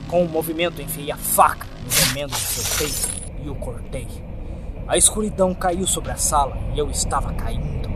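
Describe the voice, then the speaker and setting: A man with a Brazilian accent giving a dramatic scripted narration of a horror story. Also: on the high side. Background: prominent background music.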